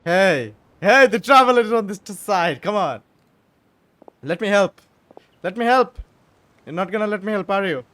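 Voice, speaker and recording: A man's voice: high-pitched, animated, moderate pace, long pauses, a bit bright, dry recording. A man doing casual, exclamatory gameplay commentary, repeating himself and talking back to the characters. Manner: exclamatory, repetitive, talks to the game characters. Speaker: gaming streamer.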